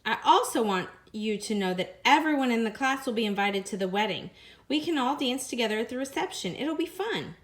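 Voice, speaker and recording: A woman voicing a kindly, patient male mouse schoolteacher in a storybook, gently explaining things. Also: on the high side, expressive, a little fast, natural voice. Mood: apologetic.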